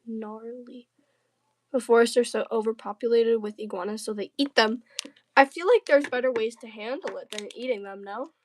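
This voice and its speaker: A woman from the southern United States chats casually on a livestream. Her voice sits a bit higher than most women's. She talks in a playful, slangy way, tossing out jokey banter as she replies to chat. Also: normal speed.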